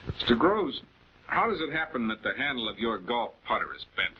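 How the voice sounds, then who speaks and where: An actor playing a composed, methodical male prosecutor, speaking with deliberate authority in a 1940s television crime drama. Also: medium pitch, big pitch swings, breathy edge. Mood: firm questioning. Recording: dull 1940s film soundtrack.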